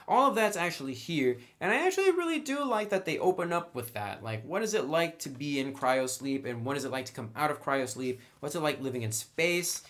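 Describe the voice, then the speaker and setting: A man's voice: fairly high pitch, a bit bright. A man giving a casual, unscripted review as he browses through a book, talking conversationally through each item.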